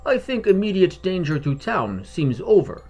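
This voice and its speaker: A solemn, protective dragonborn paladin voiced by a man in a fantasy story. The delivery is blunt, with clipped, article-dropping phrasing, pitched well up and set over music. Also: sing-song, normal speed, darkish tone, heavily acted. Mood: firm. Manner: clipped foreign-style grammar, dropping articles.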